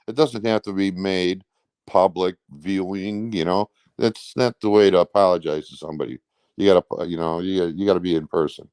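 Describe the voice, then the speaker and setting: A man with a very low voice and a clear, smooth tone speaks casually as an interview guest. He recounts things in a blunt, rambling, everyday way, sometimes coarse in his wording. The sound is close and dry, with very little room in it. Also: steady tone, normal speed, a little mellow, no echo. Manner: rambling, blunt, colloquial with swearing. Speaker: truck driver. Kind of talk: casual recounting of personal events.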